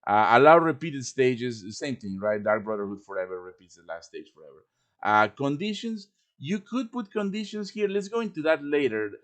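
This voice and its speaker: Man giving an informal software walkthrough. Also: medium pitch, very animated, normal speed. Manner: explains step by step with casual asides. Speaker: tutorial presenter. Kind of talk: informal live software tutorial. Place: live-streamed voice chat with screen share.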